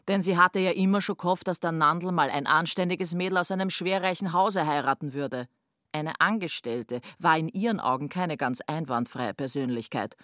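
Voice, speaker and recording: A woman's voice: lowish voice, fairly bright tone, dry recording, clean studio sound. A woman narrating a literary radio play in a wry storytelling manner.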